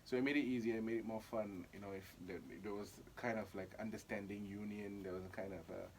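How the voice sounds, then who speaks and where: A man's voice: fairly low pitch, steady tone, quick pace, rough recording. A young man with a Singaporean English accent, talking in a loose, chatty way. He laughs easily and repeats phrases as he thinks. A faint hum of noise sits behind his voice. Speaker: guest, actor. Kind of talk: casual interview chat.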